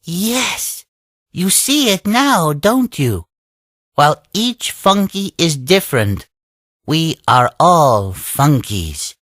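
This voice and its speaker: An actor playing a wise old creature leader in a video game, telling a tale in a solemn, deliberate, sage-like way. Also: male voice, fairly high pitch, dry recording, studio quality. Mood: earnest, wise. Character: wise old male Oni leader creature, serene storyteller. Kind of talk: scripted storybook narration for a game cutscene.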